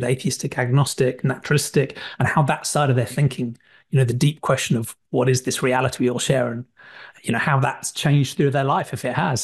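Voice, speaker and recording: A man hosting a podcast who asks a guest questions in a measured, courteous way. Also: medium pitch, darkish tone. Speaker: podcast host. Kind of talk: interview questions.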